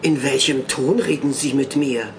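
Slow, imperious elderly lady. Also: very deep voice, a little hiss or hum. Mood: indignant. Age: older. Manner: imperious, deliberate. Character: elderly aristocratic lady, stern, indignant, unafraid. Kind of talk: German audio drama acting.

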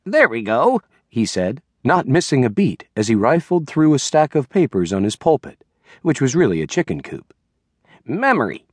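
A man's voice: medium pitch, sing-song, normal speed, darkish tone, no room sound. A man reading literary fiction as an audiobook narrator, in a scripted storytelling style.

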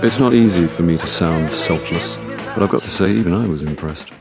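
Low-voiced, wry middle-aged boozer. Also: male voice, a little fast, vocal fry, music in the background. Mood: wry narration. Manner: wry, deadpan, sardonic. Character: dry, boozy, self-centred middle-aged male actor narrating his misfortunes. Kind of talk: radio drama acting and narration.

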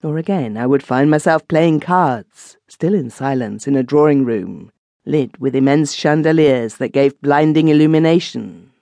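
A man reads a classic ghost story as an audiobook narrator, in a measured, literary storytelling manner. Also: on the high side, gravelly, warm tone, no room sound.

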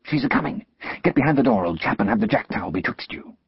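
A man voicing a gentle, simple village blacksmith in a storybook reading, slow and homely. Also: on the low side, expressive, slightly rough, no echo, pitched above the performer's own voice, exaggerated voice. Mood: mild. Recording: muffled lo-fi recording.

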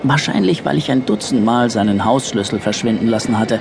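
A man's voice: medium pitch, fairly quick, gravelly, noisy background, natural voice. A man acting a wry, resentful young narrator looking back on boyhood in a scripted audio drama.

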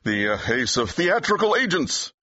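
Husky, animated Broadway agent. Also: male voice, on the high side, moderate pace, no echo, exaggerated voice. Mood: jaunty. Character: jaunty, wry, winded Broadway theatrical agent. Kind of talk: full-cast audiobook acting. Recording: dull, slightly muffled audio.

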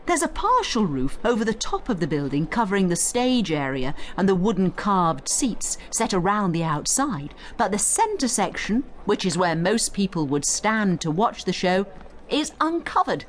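A woman giving scripted educational narration as a guide, in an instructive, expressive storytelling manner. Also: medium pitch, moderate pace, slight background noise. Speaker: study guide narrator and tour guide.

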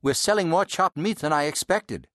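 A man's voice: fairly high pitch, normal speed, fairly bright tone, dry recording, clean studio sound, voice pushed down, natural voice. A male narrator voicing a good-natured father who runs a butcher shop and jokes customers out of bad moods. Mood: brisk. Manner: easygoing, teasing. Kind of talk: audiobook character voice.

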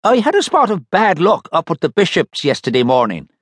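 A man's voice: on the high side, wildly swooping pitch, brisk, no room sound, studio quality, voice pushed up. A man voicing a crooked, servile garage mechanic. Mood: sheepish.

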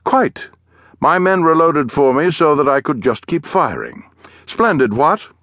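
A man voicing a pompous, talkative upper-class English gentleman adventurer in an audiobook, cheerful and effusive. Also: on the high side, big pitch swings, measured pace, dry recording, pitched above the performer's own voice. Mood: breezy, self-satisfied. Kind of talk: audiobook character voice.

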